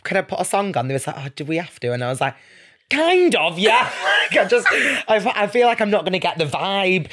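A man's voice: sits high, big pitch swings, brisk, background noise. A man in his twenties chatting casually as a podcast guest, telling anecdotes in a jokey, self-mocking, giggly way. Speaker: podcast guest, reality TV personality.

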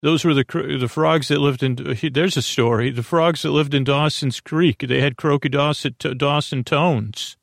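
A man hosting a bedtime podcast in a creaky, croaky voice, meandering and stammering in a soothing, lulling monologue. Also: on the high side, fairly even intonation, no echo, clean studio sound. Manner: meandering, halting, stammering, self-interrupting. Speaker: podcast host.

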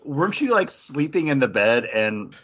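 A man's voice: medium pitch, very animated, normal speed. Man on a dull, slightly noisy recording. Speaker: podcast host. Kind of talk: casual chat. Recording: dull recording with faint noise.

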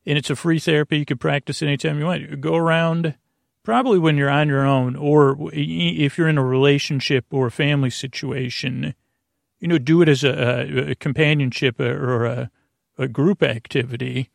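A man hosting a bedtime podcast in a creaky, lulling voice, meandering and halting through tangents and self-interruptions. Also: a little high, steady tone, no room sound, studio quality. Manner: soothing, lulling. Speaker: podcast host. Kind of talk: rambling bedtime monologue to help listeners sleep.

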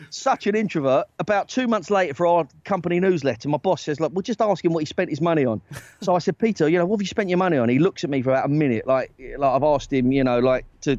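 A man, a talent agent and guest on a podcast, telling stories in a loose, animated way with lots of "right?" tag questions. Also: fairly high pitch, no echo. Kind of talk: casual storytelling chat. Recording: dry, dull lo-fi sound.

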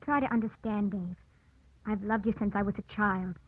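A woman's voice: mid-range voice, fairly even intonation, normal speed, breathy edge, dry recording, lightly acted. An actress playing an earnest young woman torn by forbidden love, intense in the manner of old radio drama. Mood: anguished, resolute. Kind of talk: 1940s-50s radio drama acting. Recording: muffled old radio broadcast.

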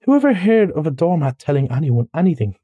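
A man acts out a grumbling storybook mole, pitched well up from a natural speaking voice. The tone is mellow but plaintive and huffy, full of self-pity and pettish, literal-minded objection. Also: animated, normal speed, no room sound, lightly acted.

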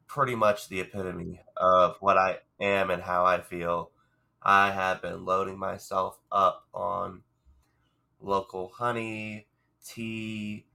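A man with a cold co-hosts a casual book podcast, his voice congested and a little hoarse. He talks low and deep with a bright, forceful edge. He rambles and restarts, poking fun at himself. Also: little pitch movement, slow. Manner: rambling, self-deprecating, restarts often. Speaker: podcast co-host. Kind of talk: casual chat.

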